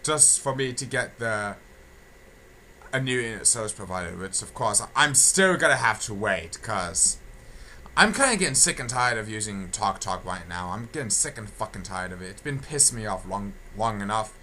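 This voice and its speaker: A young man giving a casual audio update, halting, repetitive and complaining. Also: lowish voice, animated, sharp tone. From England. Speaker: video creator giving channel updates. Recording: clear background noise.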